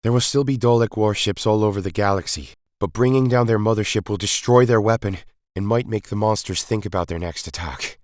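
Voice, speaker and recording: A man's voice: lowish voice, brisk, darkish tone, no echo, studio quality, pitched above the performer's own voice, natural voice. A man voicing a grave, emphatic Time Lord hero in an audio story, urgent and commanding. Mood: urgent, resolute.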